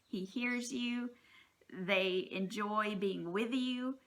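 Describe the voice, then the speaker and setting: A woman's voice: medium pitch, very slow, fairly bright tone. A woman speaking as a teacher, giving informal, encouraging and reassuring advice to parents in a plain-spoken, folksy way.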